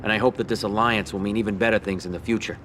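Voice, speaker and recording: An actor playing a confident, controlling mafia don in a video game cutscene. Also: male voice, lowish voice, fairly even intonation, racing, a bit bright, a little hiss or hum, close to an everyday voice. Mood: assured.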